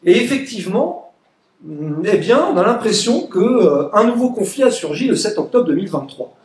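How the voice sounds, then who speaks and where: A man's voice: high voice, very animated, moderate pace, a little mellow. Emphatic man speaking in an echoey room. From Egypt. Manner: emphatic, sarcastic, quotes opponents in mock voices. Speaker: political speaker. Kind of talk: public meeting speech. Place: meeting room.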